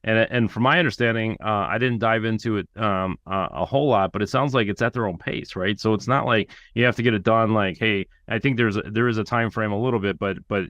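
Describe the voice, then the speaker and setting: A man hosting a podcast, asking questions in casual, conversational and somewhat halting phrasing. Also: on the low side, fairly bright tone, no room sound, dull audio. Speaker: podcast host. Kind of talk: casual interview chat.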